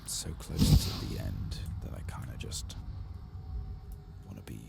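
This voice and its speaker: A soft-spoken man murmuring his way through an ASMR game playthrough, in an unhurried manner. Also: loud music behind the voice. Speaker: gaming streamer.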